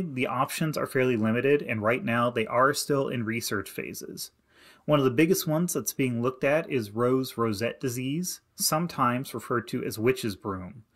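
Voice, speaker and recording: A man narrating a slideshow lesson as an extension educator, giving plain, practical advice in a steady instructive way. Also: mid-range voice. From Indiana. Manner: plain, practical and advising, with many "you need to" instructions. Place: voice-over to slides.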